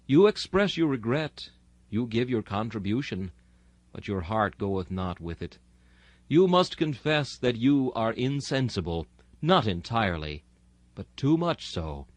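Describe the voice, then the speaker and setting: A man reading a sermon aloud in a solemn, earnest, deliberate oratorical manner. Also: mid-range voice, no echo. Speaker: reader of a historical sermon.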